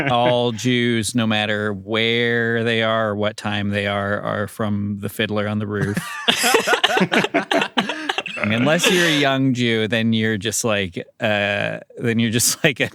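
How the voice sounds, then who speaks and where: A man's voice: fairly low pitch, fairly bright tone, clean tone, no echo, clean studio sound. A man chatting casually on a podcast in a joking, irreverent manner.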